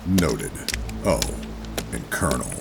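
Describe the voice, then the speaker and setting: Man playing a very deep-voiced, bitter covert operative. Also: very animated, slow, creaky, full character voice. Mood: grim, resigned. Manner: defiant, brooding. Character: bitter, defiant male covert operative, reluctantly back in service. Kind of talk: sci-fi audio drama acting with first-person narration. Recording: music bed under the voice. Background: music.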